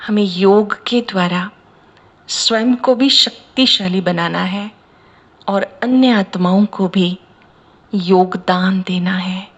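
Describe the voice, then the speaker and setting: A woman from India leading a guided meditation as a spiritual teacher, speaking in measured, deliberate short phrases with pauses. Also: medium pitch, fairly bright tone. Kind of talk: slow guided meditation discourse.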